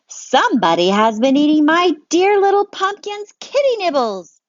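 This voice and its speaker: A woman's voice: sits high, sing-song, normal speed, no room sound, voice pushed up. A woman voicing an upset, doting mother with emphatic exclamations. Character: doting, upset mother fussing over her pet. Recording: dry, dull lo-fi sound.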